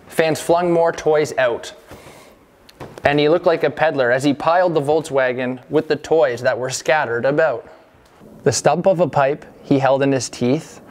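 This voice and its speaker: A man reading a scripted rhyming holiday poem in measured storytelling phrases. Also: mid-range voice, a little mellow. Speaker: reader of a Christmas poem parody. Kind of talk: scripted verse narration.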